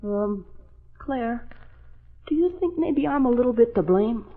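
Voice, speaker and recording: A performer playing a sheepish, hesitant kid brother with a husky voice, in 1940s radio comedy-drama style. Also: medium pitch, expressive, a little slow. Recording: thin, muffled vintage radio recording.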